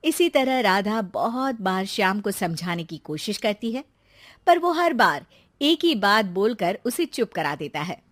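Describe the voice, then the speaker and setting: A woman's voice: medium pitch, sing-song, a little slow, fairly bright tone, no room sound. A woman narrating a scripted moral story for a cartoon in a storytelling style.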